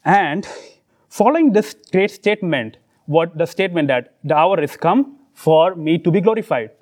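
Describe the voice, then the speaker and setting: A man from India with an Indian accent, preaching a sermon in short earnest phrases, pausing for his interpreter. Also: on the high side, expressive, darkish tone.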